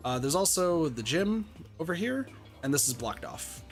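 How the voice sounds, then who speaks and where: A man's voice: on the high side, very animated, normal speed, soft background music. A man hosting a casual gameplay session, explaining things to his friends as he plays. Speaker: host playing a visual novel with friends.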